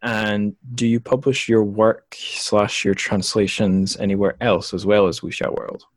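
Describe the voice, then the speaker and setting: A man hosting a casual interview, speaking in a halting, thoughtful way as he works through his questions. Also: fairly low pitch, level tone, no echo. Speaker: podcast host and interviewer.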